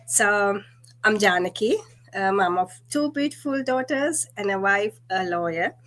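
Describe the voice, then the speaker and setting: A woman from Sri Lanka answering interview questions on a livestream. She explains earnestly at a middle pitch, with some fillers along the way. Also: animated, a little slow, a bit bright. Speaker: guest.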